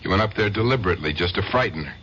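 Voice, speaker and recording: A aggrieved, volatile accused killer in an old radio play. His voice is sharp and swings wildly as he accuses, agitated, through dull, slightly noisy broadcast sound. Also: low voice, animated, racing. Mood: accusing. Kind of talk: 1970s radio drama acting.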